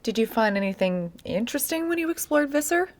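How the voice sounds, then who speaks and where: A woman with an American accent playing a patient, measured interviewer who gently probes, in a naturalistic audio drama. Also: a little high. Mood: probing. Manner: measured, calm, probing. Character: patient, probing woman interviewer recording an oral history.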